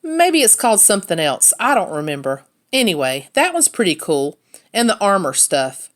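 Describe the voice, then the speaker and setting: A woman's voice: mid-range voice, sing-song, normal speed, natural voice. A woman voicing an earnest, plain-spoken young city boy in a storybook. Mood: matter-of-fact, unsure.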